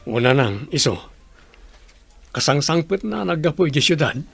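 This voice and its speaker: A man's voice: on the high side, lively intonation, normal speed, creaky, a bit bright, breathy edge, no echo, soft background music, heavily acted. A man acting a resigned, plain-spoken village father in an Ilocano radio drama.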